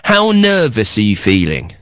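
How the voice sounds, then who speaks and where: A man's voice: on the low side, a little slow, clean tone, no room sound, clean studio sound. Playful man hosting kids' radio. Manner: teasing, boastful, exaggerated excitement. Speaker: children's radio host. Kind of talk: playful quiz game with a child caller.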